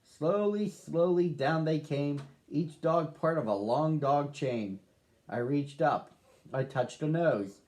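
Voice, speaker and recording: A man reading a rhyming picture book aloud to children, with a slow, sing-song rhythm. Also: on the high side, darkish tone. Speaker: storybook reader.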